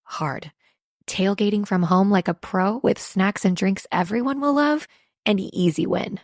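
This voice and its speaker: Female commercial voice-over, mid-range pitch, scripted sales read, close and dry. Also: a little slow, darkish tone, no room sound. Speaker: advertisement voice-over.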